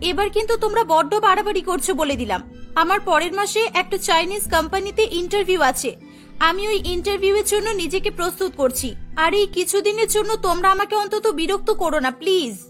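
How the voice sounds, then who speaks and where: A woman's voice: extremely high pitch, fairly quick, a bit bright. A woman playing a headstrong young career-minded daughter in a cartoon, emphatic and exclaiming, over music. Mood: annoyed. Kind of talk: dramatised cartoon dialogue.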